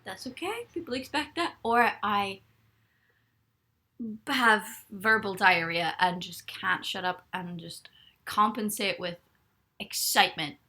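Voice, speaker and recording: A woman's voice: mid-range voice, slow, pushed and bright. A young woman live-streaming from bed, chatting casually and rambling as she reads viewers' comments aloud. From Ireland. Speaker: livestreamer. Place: bedroom, in bed.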